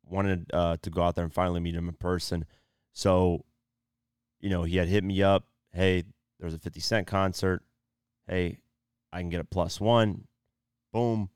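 A tired young man hosting his own podcast, losing his voice and keeping the energy low, talking casually with lots of fillers. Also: flat delivery, normal speed, pauses often, darkish tone, smooth, no echo, clean studio sound. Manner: laid-back, subdued, lots of fillers like "you know" and "um". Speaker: podcast host. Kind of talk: casual solo podcast talk.

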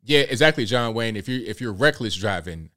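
A man in his late thirties hosting a casual livestream, talking in a relaxed way and reading out viewer comments. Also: fairly low pitch, fairly quick. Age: late 30s. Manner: laid-back, conversational, repeats himself. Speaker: livestream host.

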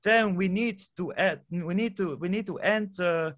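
A man from the Netherlands with a Dutch accent, a guest speaking English as a second language, talking haltingly with many fillers and repeated words. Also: high-pitched, a bit bright. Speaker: guest, permaculture project founder. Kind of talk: casual interview answers.

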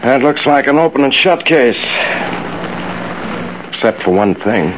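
An actor playing a shrewd, dry police interrogator with folksy questioning in a 1940s radio crime drama. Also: male voice, medium pitch, animated, measured pace, lightly acted. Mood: dry probing. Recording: muffled, faintly hissy old radio recording.

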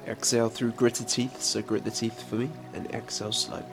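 A man with a stuffy, congested voice leads a slow guided breathing meditation in a low, even tone. Soft music plays clearly behind him. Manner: calm, unhurried counting and instruction. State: congested from a head cold. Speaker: meditation guide and podcast host. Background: soft background music.

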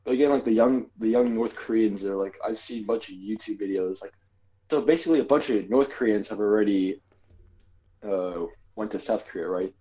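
A man's voice: lowish voice, fairly quick, warm tone, rough recording. A man co-hosting a podcast, talking casually in a rambling way with lots of fillers and hedges. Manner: rambling, filler-heavy, hedging. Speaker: podcast co-host. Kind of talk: casual chat.

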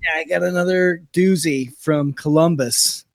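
An American man with a fairly high voice, recorded dry and close. He co-hosts a casual podcast, riffing on news with profane, irreverent humor. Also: measured pace, vocal fry, no room sound. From Utah, United States. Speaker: podcast co-host. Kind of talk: casual comedic banter about news.

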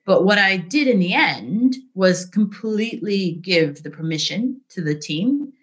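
A middle-aged woman speaking as an interview guest, telling candid work stories in a lively, conversational way, often asking "right?". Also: mid-range voice, a little slow. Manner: animated, frequent "right?" and "like", laughs easily. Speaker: interview guest, business leader.

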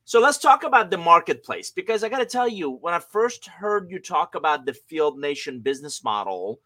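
Podcast host guy, kinda high bright voice, friendly and chatty. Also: no echo. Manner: upbeat and friendly, polished interviewer. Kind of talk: podcast interview hosting.

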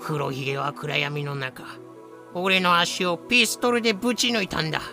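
A man playing a rough, lively old-hand pirate telling tales with slangy, dramatic delivery in a fan-made voice drama. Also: a little high, normal speed, music in the background. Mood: grim storytelling. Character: rough lame ex-pirate storyteller, boisterous, lively.

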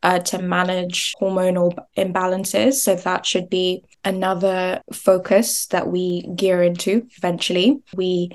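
A woman's voice: lowish voice, level tone, unhurried, hardly any pauses. A young woman from Nigeria talking chattily as a podcast guest, often laughing and restarting words. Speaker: guest, business founder. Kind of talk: podcast interview.

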